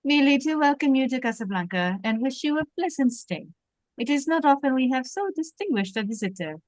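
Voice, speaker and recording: A woman playing a suave, flattering French police captain in an amateur script read-through, with a French-accented voice and a courtly manner. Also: on the high side, normal speed, no echo.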